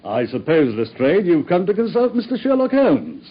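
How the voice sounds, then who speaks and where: An actor playing a self-satisfied male police inspector in a radio drama, smug and husky. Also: fairly high pitch, wildly swooping pitch, normal speed, a little hiss or hum. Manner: smug, pleased with himself. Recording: dull old radio recording.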